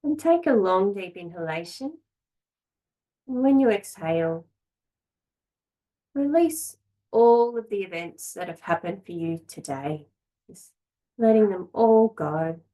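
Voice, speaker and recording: A woman yoga teacher guides a relaxation practice in a medium-pitched voice. Her delivery is slow and calm, with short instructions set apart by long, unhurried pauses. The manner is gentle throughout. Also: low-quality recording.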